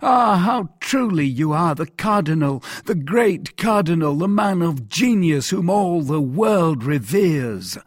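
Man acting a high-pitched, fawning commoner prisoner. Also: big pitch swings, slightly rough, no room sound, clean studio sound, heavily acted. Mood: awestruck flattery. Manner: exclaiming, drawn-out, servile pleading. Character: fawning, gullible, overawed commoner mercer prisoner.

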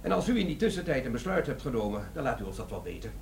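Officious, animated company boss. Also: male voice, medium pitch, rapid-fire, creaky. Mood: coolly polite. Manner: formal, condescending, dryly polite. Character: officious, dry, sceptical middle-aged male company boss. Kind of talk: comic crime radio play acting. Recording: echoey room, faintly noisy radio recording.

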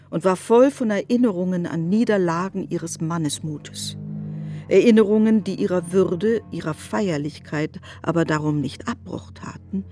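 A woman narrating a literary radio drama in deliberate, elevated phrasing. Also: fairly low pitch.

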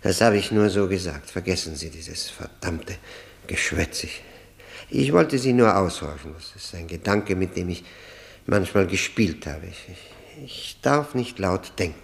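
A low, gravelly-voiced convict in middle age in a 1960 German radio play. He is broken yet defiant, evasive and bitter, and his speech halts and repeats itself. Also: animated, exaggerated voice. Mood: evasive, agitated. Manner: halting, repeating words, bitter.

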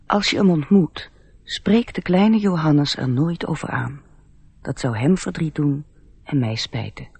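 A man's voice: on the high side, creaky, a little mellow, slightly rough, dry recording, full character voice. A man playing an earnest storyteller who narrates a radio play, confiding directly in the listener. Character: intimate, gently earnest male storyteller.

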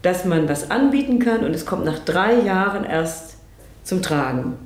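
A woman's voice: on the low side, expressive, measured pace, echoey room. A woman talking reflectively in an interview as a music and rhythm teacher.

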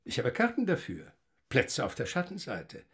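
An actor playing a courteous, cultured professor in a radio drama, speaking formally with small hesitations. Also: male voice, mid-range voice, huge pitch swings, moderate pace, fairly bright tone. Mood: genial, inviting. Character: courteous, cultured male professor, hospitable and genteel. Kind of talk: German radio drama acting.

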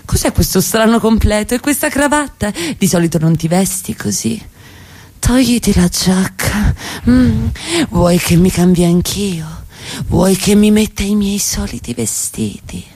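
Actress doing middle class wife for a radio play, evasive n seductive, mid pitch, very dry sound. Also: female voice, unhurried, a little mellow, slightly rough, dry recording. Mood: coy, seductive. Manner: theatrical, insistent, slipping into whispers. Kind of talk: radio theatre acting.

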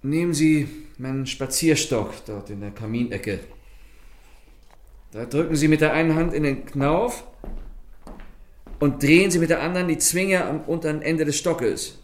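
An actor playing a suave, mocking French gentleman thief in a German radio crime comedy, theatrical and ironically polite. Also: fairly high pitch, moderate pace, pauses often, natural voice. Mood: composed.

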